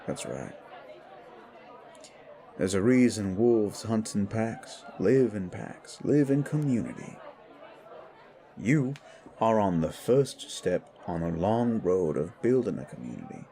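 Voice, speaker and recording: A man playing a low, deliberate, stern supernatural mentor. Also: very animated, vocal fry, warm tone, some chatter behind, full character voice. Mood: earnest, advising. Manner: measured, admonishing, deliberate. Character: worldly, stern, protective male supernatural mentor. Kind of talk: audio roleplay dialogue.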